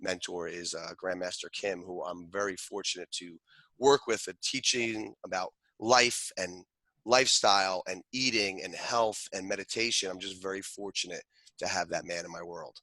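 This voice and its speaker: Earnest man with a bright, dry voice. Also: low voice, fairly even intonation, normal speed, dry recording, poor audio. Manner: earnest, reflective, many restarts. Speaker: guest, martial arts grandmaster. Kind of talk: interview conversation.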